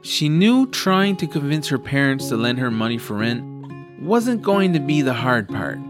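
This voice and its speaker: A man delivers scripted story narration for an audio drama. His voice is medium in pitch, and music plays clearly underneath as he reads. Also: sing-song, normal speed.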